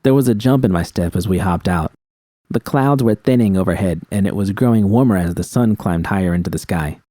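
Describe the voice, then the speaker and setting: A man's voice: deep voice, fairly quick, dark tone, no echo. A man reading scripted first-person fiction as a narrator.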